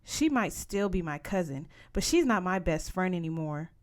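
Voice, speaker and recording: A woman's voice: on the low side, very animated, normal speed. Young girl for a storybook, acted by an adult woman: sulky, defiant, a bit whiny. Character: stubborn, sulky young girl, resentful and pleading.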